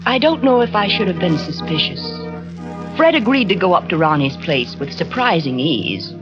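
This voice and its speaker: A woman's voice: on the low side, animated, moderate pace, lightly acted. Woman acting anxious, conflicted wife, muffled radio melodrama. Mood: somber recounting. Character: anxious, conflicted unfaithful wife drawn into murder. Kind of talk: 1940s radio drama acting. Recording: muffled vintage 1940s radio, music behind.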